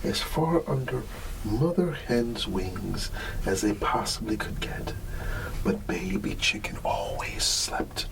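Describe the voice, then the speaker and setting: A drowsy man reading a children's story in an ASMR style, halting and drawn out as if fighting off yawns. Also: mid-range voice, animated, creaky, noisy background. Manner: drowsy, yawning, drawn-out halting delivery with hums. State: sleepy. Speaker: bedtime storyteller in an ASMR roleplay.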